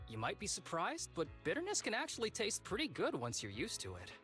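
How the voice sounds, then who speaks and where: A young male agent from a video game, breezy and easygoing. His pitch moves around a lot as he makes casual, knowing remarks. Faint music plays behind him. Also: huge pitch swings, fairly quick, pushed and bright, exaggerated voice. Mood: playful.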